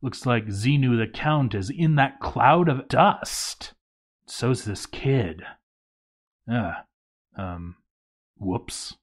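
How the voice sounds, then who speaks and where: A man's voice: medium pitch, expressive, extremely slow, pauses often. Man narrating a game story dramatically.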